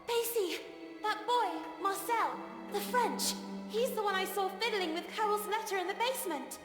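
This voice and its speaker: An actress playing an earnest, polite, determined young girl in an animated-style game, with a girlish manner. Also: very high voice, moderate pace. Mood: urgent, determined. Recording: echoey space, music behind.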